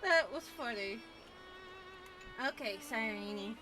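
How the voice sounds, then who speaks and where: A woman giving casual gameplay commentary in a halting way, often trailing off mid-thought. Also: fairly high pitch, animated, music in the background. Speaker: gamer recording a playthrough.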